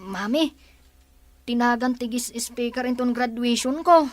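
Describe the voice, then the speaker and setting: A woman with a Filipino accent playing an excited, eager high school boy in a radio drama. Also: measured pace, close to an everyday voice. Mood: earnest. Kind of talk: Philippine radio drama acting.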